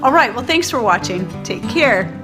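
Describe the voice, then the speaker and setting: A woman's voice: a little high, animated, normal speed, loud music behind the voice. A woman giving a casual product review as a video host, in a chatty, informal, rambling way. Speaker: host of a homesteading and preparedness video channel. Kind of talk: casual product review vlog, talking to viewers.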